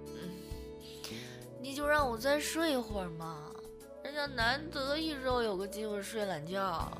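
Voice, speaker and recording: Woman playing businessman, early thirties, strong-willed, animated, bright tone, radio drama, faint music. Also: fairly high pitch, unhurried. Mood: sleepy, grumbling. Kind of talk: Chinese amateur radio drama acting.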